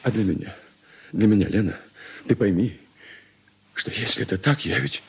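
A man's voice: lowish voice, moderate pace, breathy edge. An actor playing an irritable, evasive young merchant's son, weary of his family, in a Soviet radio play. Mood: hushed coaxing.

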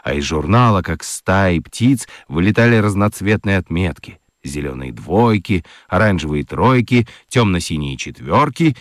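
A man's voice: sits low, animated, a little slow, hardly any pauses, no echo, clean studio sound. A man narrating a children's radio story expressively from a script.

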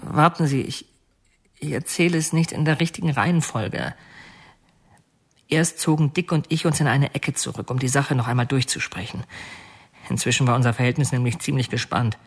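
A bitter, oddly soft-hearted murderer in a radio play, telling his story in a matter-of-fact way at a middling pitch. He halts and picks up again as he recalls each detail. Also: normal speed, breathy edge. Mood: grim, confessing. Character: confessing male killer, bitter, brooding, oddly soft-hearted. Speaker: actor narrating as a murderer. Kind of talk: radio drama confession monologue.

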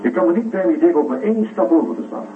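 A man's voice: high-pitched, very fast, natural voice. A man acting a patient but firm male psychiatrist questioning someone in a science fiction radio drama. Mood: measured, firm. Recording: roomy, distant, tinny phone-like radio recording.

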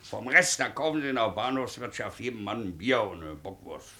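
An actor in a 1950s German radio play, playing a dryly cheeky ex-convict posing as an army captain. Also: male voice, fairly low pitch, brisk, sharp tone, slight background noise. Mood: commanding, brisk. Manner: clipped military orders, dry plain replies.

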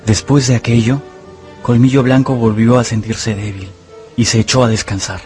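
A man acting as a male storyteller for a radio adventure novel. He delivers scripted narration at a middle pitch, with music softly underneath. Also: steady tone, moderate pace, close to an everyday voice. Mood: tender storytelling. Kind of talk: dramatized audiobook narration.

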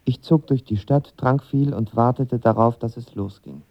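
A man's voice: mid-range voice, normal speed, no room sound, muffled recording, lightly acted. An actor playing a lovestruck young man of twenty who tells his story in casual slang in a radio drama. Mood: reflective. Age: young adult. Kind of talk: radio drama narration and dialogue.

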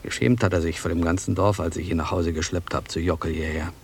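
An actor playing an embittered, melancholy old villager in a German radio drama, with a gravelly, husky voice. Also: male voice, sits low, steady tone, quick pace, heavily acted. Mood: bitter, mournful. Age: older.

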